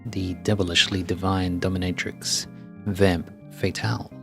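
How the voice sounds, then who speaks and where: A man's voice: sits low, little pitch movement, unhurried, gravelly. A man voicing a florid, formal fight show presenter from a video game, announcing with theatrical deliberation. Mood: grandiose. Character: grandiose, theatrical fight show presenter addressing combat fans. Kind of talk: scripted game dialogue read aloud. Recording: faint music behind.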